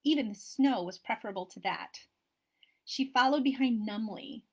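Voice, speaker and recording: Soft-voiced woman reading her novel. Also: a little high, normal speed, husky. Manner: expressive, shifting with the story. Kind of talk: audiobook-style reading of a romance novel.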